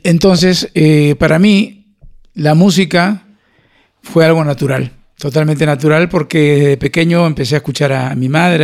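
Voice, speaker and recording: A man from Lima with a Peruvian accent talks as an interview guest. His voice sits a little high for a man and has a clear creak in it. He is conversational and reflective, feeling his way through phrases. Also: darkish tone. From Lima, Peru. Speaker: guest musician.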